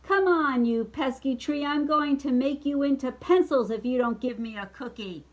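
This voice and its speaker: Woman playing a cranky, high-pitched storybook witch. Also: normal speed, a little mellow. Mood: threatening. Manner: bossy, impatient. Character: cranky, scheming female witch craving cookies.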